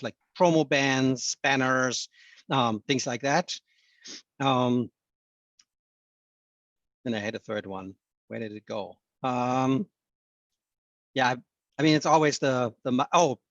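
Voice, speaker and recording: A man's voice: medium pitch, dry recording, lo-fi sound. A man answering questions on a webinar panel, hesitant, with many ums and restarts.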